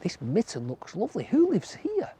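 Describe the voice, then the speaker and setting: A storyteller voicing a cold, hopeful rabbit in a children's folk tale, asking slowly and pleadingly for room. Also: medium pitch, huge pitch swings, warm tone, slightly rough, voice pushed up, full character voice. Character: cold, hopeful rabbit seeking a winter home.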